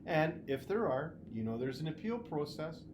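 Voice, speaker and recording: A storybook reader playing a kindly, reassuring father who chats gently about his own youth. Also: mid-range voice, very animated, moderate pace, noisy background, voice pushed up, close to an everyday voice.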